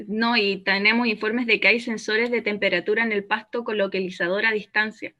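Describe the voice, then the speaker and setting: A woman's voice: medium pitch, steady tone, normal speed, lo-fi sound, a crowd in the background, close to an everyday voice. An actress playing a woman hiding in a bunker, calling in to a radio show in a radio drama. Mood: wary. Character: woman named Ángela holed up in a bunker. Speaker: caller to a radio program.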